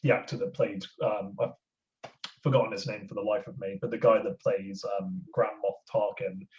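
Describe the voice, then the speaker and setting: A podcast speaker chatting casually, haltingly searching for words. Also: low-pitched, fairly even intonation, darkish tone, slight background noise. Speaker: podcast co-host or guest. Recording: muffled lo-fi audio.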